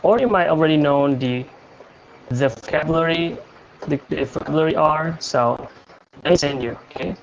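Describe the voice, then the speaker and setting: A young man from Indonesia hosting a casual livestream chat, talking in a halting way with many fillers and restarts. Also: a little high, vocal fry, dull audio, a little hiss or hum. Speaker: livestream host.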